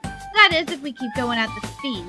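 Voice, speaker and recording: A woman voicing a sensible, matter-of-fact girl who leads her friends, brisk and practical, in a story reading. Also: high voice, sing-song, music in the background.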